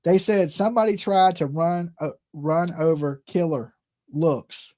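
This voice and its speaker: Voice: medium pitch, measured pace, dark tone. A live call-in stream host of ambiguous sex, chatting casually in crude, mocking, profane slang and often tagging sentences with "right?" or "okay". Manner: peppers talk with "right?" and "okay".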